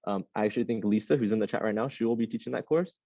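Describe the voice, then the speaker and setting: A young man teaching a live online class on computing clusters, a casual speaker who rambles with lots of ums and restarts and sounds slightly hoarse. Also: fairly low pitch, racing, mellow, dry recording, highs cut off. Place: classroom with a Zoom audience.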